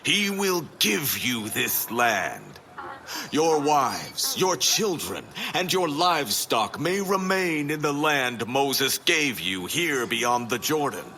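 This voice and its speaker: Commanding ancient leader, slow delivery, bright forceful tone, declaiming, audio scripture drama. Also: male voice, mid-range voice, big pitch swings, faint music behind, full character voice. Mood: rousing, urgent. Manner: declaiming, authoritative.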